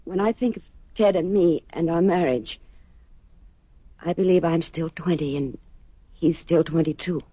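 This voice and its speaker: An actress playing a hurt, determined middle-aged wife in a radio drama. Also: female voice, on the low side, unhurried, pauses often, breathy edge, no room sound. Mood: hurt, probing. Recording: dull vintage radio recording.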